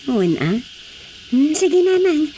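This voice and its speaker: Woman acting a high-voiced Ilocano village relative. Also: very animated, takes it slowly, dark tone, slight background noise, heavily acted, poor audio. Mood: casual. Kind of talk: Ilocano radio comedy drama acting.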